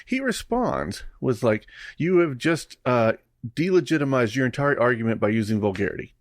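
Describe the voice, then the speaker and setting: A man's voice: medium pitch, big pitch swings, normal speed, no room sound, clean studio sound. A man co-hosting a casual podcast, ranting bluntly and reading out poll figures. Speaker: podcast co-host. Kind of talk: casual chat.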